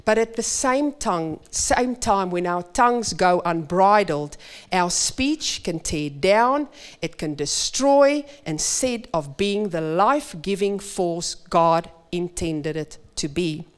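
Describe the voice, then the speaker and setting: A woman preaching in church, her Afrikaans. She speaks very slowly and with emphasis, in a smooth, clear voice that sits a little low for a woman. Her delivery is earnest and measured. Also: expressive. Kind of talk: sermon.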